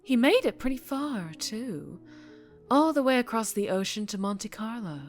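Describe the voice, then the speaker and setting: A woman acting as a dangerously charming mafia boss in an ASMR roleplay, teasing and softly threatening, unhurried, slipping into whispers. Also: a little high, lively intonation, faint music behind, lightly acted. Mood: teasing, confiding.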